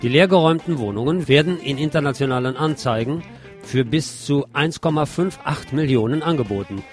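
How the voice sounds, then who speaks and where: A man's voice: fairly high pitch, brisk. A man presenting radio news from a script, with colloquial commentary mixed in, over music. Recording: music behind the voice.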